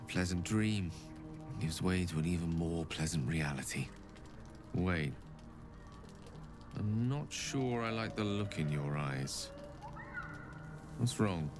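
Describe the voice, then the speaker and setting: A courteous wizard speaks in a low-pitched, articulate voice full of dry wit. His intonation rises and falls with lively movement. Music plays behind him in this game scene. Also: takes it slowly, long pauses. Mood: concerned. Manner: articulate, dry-witted and polite. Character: witty male wizard, courteous and anxious. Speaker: game character.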